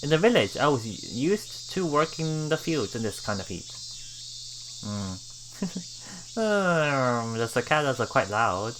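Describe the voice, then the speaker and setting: Female game streamer, extremely low pitch, lively delivery, reads story text aloud with casual playful commentary. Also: slow, noisy background. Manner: playful, expressive. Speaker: let's play streamer.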